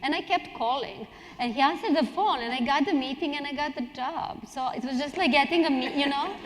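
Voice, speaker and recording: A woman's voice: high voice, fairly quick, fairly bright tone, slight background noise. A woman from Serbia speaking casually and emphatically as a panelist, often restarting her thoughts. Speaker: panelist, strategy director. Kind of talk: casual spontaneous panel talk. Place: indoor conference hall on stage. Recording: echoey hall, long reverb.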